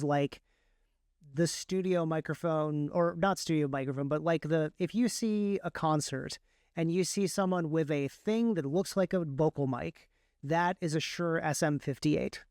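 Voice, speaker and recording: Smooth, higher-voiced man chatting. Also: darkish tone, no echo, clean studio sound. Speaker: guest, author and audiobook narrator. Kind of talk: casual explanatory interview chat.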